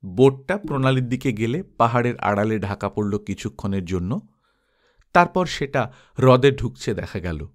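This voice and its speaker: A man's voice: mid-range voice, moderate pace. A man reading an adventure story aloud as dramatized audiobook narration, acting expressively through the tale. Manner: expressive, acts out characters' dialogue.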